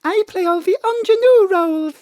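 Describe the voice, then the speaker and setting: A woman playing a chirpy, very high-voiced ingenue. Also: moderate pace, a little mellow, no room sound. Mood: chatty. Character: chirpy young female ingenue actress. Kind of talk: audio drama acting.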